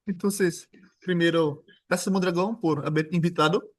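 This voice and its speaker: A man's voice: fairly high pitch, steady tone, normal speed. A man from Brazil, a native Portuguese speaker with a Brazilian Portuguese accent, giving an academic seminar in an informal, joking way with frequent restarts. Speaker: guest lecturer.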